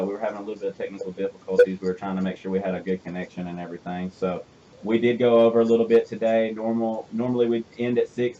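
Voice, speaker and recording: A young man in his twenties with a Southern US twang hosts a casual interview show. He talks quickly and chats in an animated way, often restarting his sentences. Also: mid-range voice, muffled recording, a little hiss or hum. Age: 20s. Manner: chatty, animated, thinks aloud with restarts. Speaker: host.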